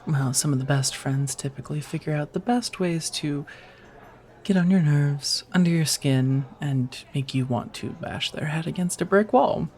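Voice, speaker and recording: A woman's voice: low voice, normal speed. A woman playing a friendly, teasing firefighter on a date in an intimate, casual ASMR romance roleplay, chatty and reassuring. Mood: wry, playful. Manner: chatty, playfully wry, reassuring, sprinkled with soft laughs.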